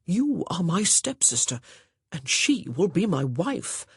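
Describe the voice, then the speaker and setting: A narrator reading a pompous, easily flustered young gentleman who scolds sternly but wavers. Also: on the high side, sing-song, takes it slowly, slightly rough, no echo, studio quality, heavily acted. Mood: earnest. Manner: stern, blustering, uncertain.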